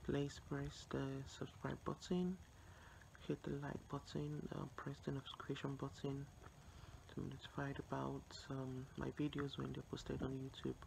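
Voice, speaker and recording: Woman, 30s, deep-pitched, casual vlogger with halting delivery; handheld phone sound with clear background noise. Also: little pitch movement. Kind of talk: casual vlog chat. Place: small indoor rooms at home, kitchen. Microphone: phone at arm's length. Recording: noisy handheld phone recording with room ambience.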